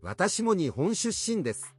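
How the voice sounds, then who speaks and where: A man's synthetic voice in a language-learning app, reading short practice sentences in clipped, even prompts. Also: high-pitched, fairly quick, husky, no echo, faint music behind. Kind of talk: text-to-speech practice sentences.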